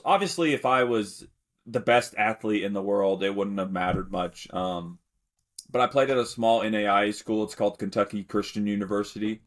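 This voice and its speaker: A young man from Alabama, telling his story in a relaxed, rambling way as a podcast guest. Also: lowish voice. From Alabama, United States. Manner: relaxed, rambling, many fillers and restarts. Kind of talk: casual interview storytelling.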